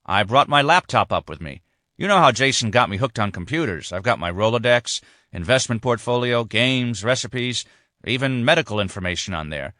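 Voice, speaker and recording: A man reading aloud, voicing a worried older father in plain, steady questions. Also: mid-range voice, normal speed, dry recording, studio quality, pitched above the performer's own voice.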